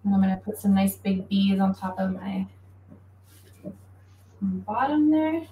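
A young woman giving a relaxed, chatty drawing lesson, explaining each step while she draws at home, speaking away from a laptop mic. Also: medium pitch, measured pace, echoey room, a little hiss or hum, low-quality recording. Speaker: guest athlete teaching drawing. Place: small home room. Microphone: laptop mic from across the room, facing away.

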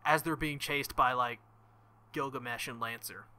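A young man commenting casually on a visual novel, with joking, crude, excitable asides. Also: mid-range voice, moderate pace. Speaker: let's-play host.

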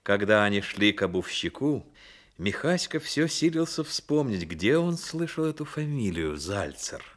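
A man's voice: fairly low pitch, sing-song, measured pace. A man narrating a radio play as a literary storyteller, with dramatic delivery.

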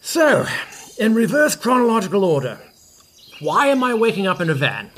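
A man's voice: high-pitched, sing-song, moderate pace, a bit bright, exaggerated voice. A man acting as a vain, hungover, washed-up rock star in an audio comedy, pompous, petulant and sarcastic. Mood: testy.

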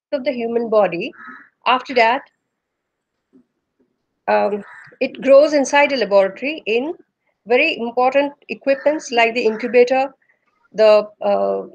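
A woman's voice: on the high side, creaky, dull audio. Indian woman answering on a video call. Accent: Indian English. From Northeast India. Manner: measured, with frequent "uh" pauses. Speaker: embryologist guest. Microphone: video call webcam.

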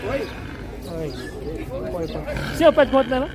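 A man's voice: extremely high pitch, measured pace. A man with a Northeastern Brazilian accent chatting informally as a market vlogger, asking prices and repeating answers back. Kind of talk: casual on-the-spot market commentary and chat. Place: outdoor pig market. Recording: very loud outdoor livestock market crowd. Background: loud crowd, animals, vehicles.

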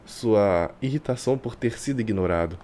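A man with a Brazilian accent reading a visual novel aloud like a streamer, expressive and colloquial. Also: fairly low pitch, normal speed, a little hiss or hum. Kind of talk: dramatic live reading with casual asides. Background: game music.

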